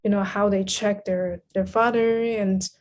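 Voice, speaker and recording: A woman's voice: medium pitch, fairly even intonation, measured pace, darkish tone, a little hiss or hum. A woman guest on a podcast, discussing literature casually in a thoughtful, agreeable manner.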